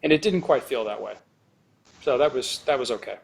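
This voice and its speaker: A man's voice: mid-range voice, lively intonation, fairly quick, creaky. A man hosting a casual podcast chat, rambling and correcting himself as he goes. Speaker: podcast host.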